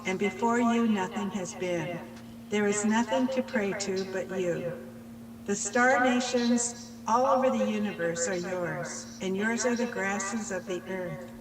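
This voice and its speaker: Older woman speaker, measured and reverent, heard from a distance in a big echoing hall with a crowd audible. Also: mid-range voice. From Pine Ridge Reservation, United States. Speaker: workshop speaker leading prayer. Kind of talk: slow prayer and self-introduction.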